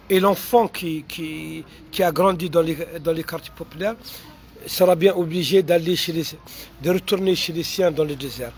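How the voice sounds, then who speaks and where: A Mauritanian writer with an accent, French not his first language, answering audience questions in a halting way with many fillers and restarts. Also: on the high side. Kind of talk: unscripted answers to online questions.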